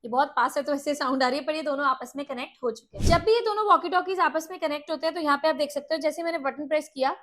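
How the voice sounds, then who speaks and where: A woman's voice: high voice, fast, few pauses, a bit bright. A woman hosting a casual product demo, explaining things and mixing in English words. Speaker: product review host.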